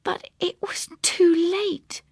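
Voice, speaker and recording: Female audiobook reader, hushed dramatic suspense, unhurried pace, dry studio sound. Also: extremely high pitch, steady tone, no echo. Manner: hushed, suspenseful, deliberate phrasing. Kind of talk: dramatic scripted narration of a ghost story.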